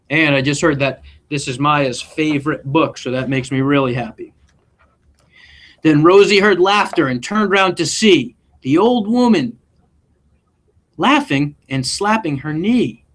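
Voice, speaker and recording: A man, a school principal, reading a children's picture book aloud expressively and chatting to young listeners. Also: on the high side. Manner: expressive, engaging listeners with questions.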